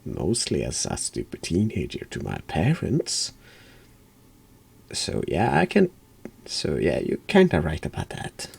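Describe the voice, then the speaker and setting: A man streaming a horror game in a hushed, dry ASMR style, reacting and reading text aloud in an understated way. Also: rumbling low, huge pitch swings, unhurried, creaky, slightly rough.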